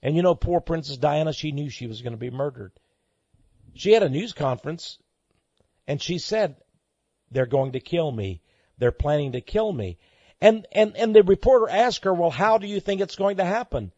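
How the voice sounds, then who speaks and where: Animated, high-pitched man preaching on air. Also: moderate pace, breathy edge, no room sound, highs cut off. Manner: emphatic, dramatic, repeats phrases for effect. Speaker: talk show host and preacher. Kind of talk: impassioned monologue to listeners.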